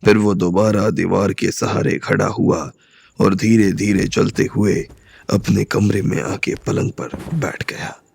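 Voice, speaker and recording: A man narrates a scripted audio story in a low, gravelly voice. His delivery is very unhurried and measured, like an audiobook reader telling a tale. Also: flat delivery, a little mellow, no room sound.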